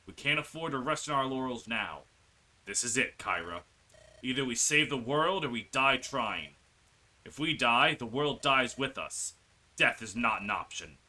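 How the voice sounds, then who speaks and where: A man's voice: medium pitch, expressive, measured pace, sharp tone, exaggerated voice, low-quality recording. A man voicing earnest fantasy game companions as he reads their dialogue aloud dramatically. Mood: grave, resolute. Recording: music behind the voice.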